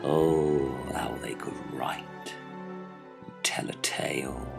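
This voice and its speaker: A man acts out a grand, wistful thespian castaway for a comic radio play. The voice is very low and slow, full of creak, and lingers dramatically on phrases over music. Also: exaggerated voice. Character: theatrical, wistful old thespian castaway, prone to grand musings.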